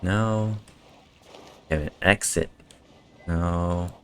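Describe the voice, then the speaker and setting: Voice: deep voice, fairly even intonation, very slow, fairly bright tone, slight background noise. A game streamer muttering dry, sweary, laconic reactions during live gameplay.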